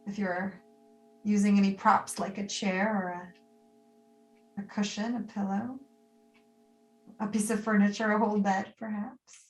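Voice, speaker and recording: Woman, meditation teacher, medium pitch, soothing, gentle instructions, short phrases with pauses, echoey roomy recording. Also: recorded from a distance. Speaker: yoga nidra teacher. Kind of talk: guided meditation, spoken live and unscripted.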